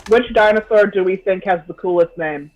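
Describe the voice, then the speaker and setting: A man's voice: high-pitched, moderate pace, dull audio. A man in his twenties, a native Scots speaker, chatting casually and jokily as co-host of a group livestream on a video call.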